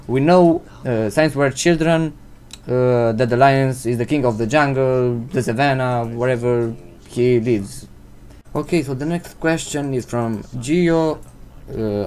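A man's voice: mid-range voice, a little mellow, slight background noise. Hesitant teenage Romanian boy vlogging. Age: teens. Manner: hesitant, many uh fillers, non-native English phrasing. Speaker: channel host answering viewer questions. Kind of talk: casual Q&A vlog. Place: indoors at home, seated in gaming chair. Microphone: desk microphone.

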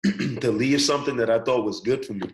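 A man's voice: medium pitch, quick pace, slight background noise, poor audio. A young man from the Bahamas with a Bahamian accent, talking casually as a guest on a video-call interview, laid-back and peppering his talk with "bro" and "you know.". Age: young adult. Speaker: student athlete guest. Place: small indoor room. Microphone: webcam on video call.

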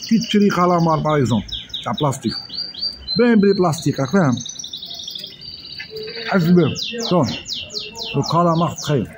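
A man's voice: fairly high pitch, noisy background. A man with an Oran Algerian Arabic accent chatting casually while eating, filming his meal on a phone at an outdoor restaurant. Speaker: food vlogger. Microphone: phone camera held over the plate.

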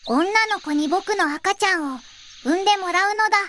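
A woman's voice: very high voice, normal speed, sharp tone, slight background noise. A synthetic female voice playing a small, earnest, lonely but determined boy creature in an audio fairy tale, cutesy and stilted. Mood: hopeful. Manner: cutesy, stilted, ends sentences with a verbal tic.

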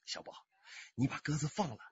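An actor playing a sly stranger in a radio drama, wheedling small children in a sing-song, coaxing way. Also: male voice, fairly quick, no room sound, close to an everyday voice. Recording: dull, slightly noisy radio drama recording.

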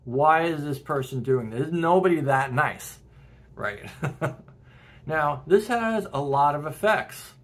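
A man's voice: on the high side, lively intonation, slow pace. A middle-aged man talking casually and earnestly to camera at home, with short laughs between points. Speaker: self-help video host. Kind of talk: casual direct-to-camera talk. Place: home room with porch window and plants.

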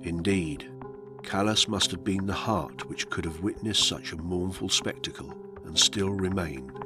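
A man reading historical accounts as scripted radio narration, in a measured, solemn storytelling manner. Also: deep voice, loud music behind the voice.

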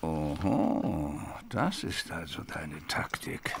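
An actor playing a scheming, mocking old man in a dubbed sitcom, gravelly and muttering with a sneer. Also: extremely low pitch, lively intonation, slow pace, heavy background noise, heavily acted.

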